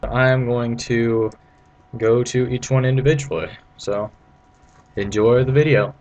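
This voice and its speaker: A man's voice: mid-range voice, steady tone, unhurried, darkish tone, muffled recording. A man giving a casual, playful video game walkthrough in an informal, rambling way. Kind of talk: casual gameplay commentary. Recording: noisy amateur home recording.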